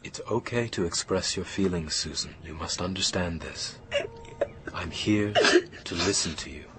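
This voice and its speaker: Patient, low-voiced male therapist, played by an actor. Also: moderate pace, very noisy, close to an everyday voice. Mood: patient, soothing. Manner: careful, probing, reassuring. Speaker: actor in a radio play. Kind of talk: naturalistic radio drama acting, counselling.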